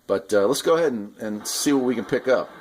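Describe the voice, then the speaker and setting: A man's voice: medium pitch, animated, fairly quick, slight background noise. A man giving a casual hands-on product demonstration, explaining things in an informal, conversational way as a hobbyist host. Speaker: radio hobbyist host reviewing gear.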